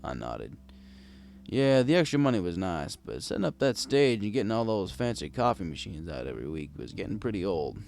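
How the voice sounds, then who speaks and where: A man narrates a tale in the first person as a kindly, world-weary old barkeep. His voice sits fairly low with a gravelly creak, and it moves in lively swings through the scripted lines. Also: brisk, a little hiss or hum. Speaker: audiobook narrator.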